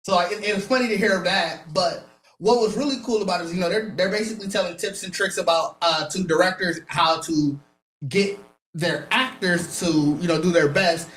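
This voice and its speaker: A male podcast host tells a long, rambling story and keeps slipping into quotes of what other people said. His voice carries a clear room echo. A faint hiss of noise sits underneath it. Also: high voice, moderate pace, fairly bright tone. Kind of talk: casual chat recounting a convention panel.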